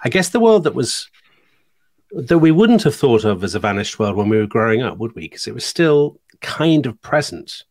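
A man's voice: medium pitch, very animated, normal speed, darkish tone, no echo. A middle-aged man co-hosting a podcast in casual, chatty conversation, wry and fond of tag questions. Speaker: podcast co-host. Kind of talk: casual chat, reading listener comments.

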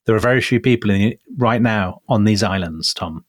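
Co-host, man, lowish voice, teasing banter, dry close mic. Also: moderate pace, no echo. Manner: teasing, mock-indignant. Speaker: podcast co-host. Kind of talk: bantering podcast chat.

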